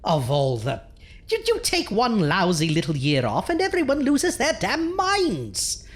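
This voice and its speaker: A woman's voice: medium pitch, huge pitch swings, measured pace. A woman playing a bossy, sardonic horror show hostess in a campy audio drama, speaking theatrically. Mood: exasperated.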